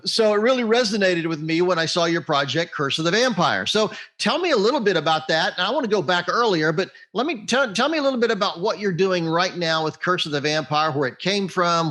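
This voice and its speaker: A man's voice: sits high, a bit bright. A man speaking as an interviewer in a conversational interview style.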